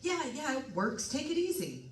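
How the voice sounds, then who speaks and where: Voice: high-pitched, huge pitch swings, moderate pace, slight background noise. A performer playing a steadying male colleague in an old-time radio drama, conversational and reassuring. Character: steadying male colleague, calming a nervous friend. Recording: roomy, distant-miked stage recording.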